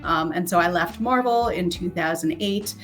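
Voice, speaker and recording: A woman's voice: on the low side, normal speed, a bit bright, faint music behind. An American woman around forty, from Connecticut, talking casually as an interview guest on a video call and telling her own story. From Connecticut, United States. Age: 30s or 40s. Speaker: interview guest, author and teacher.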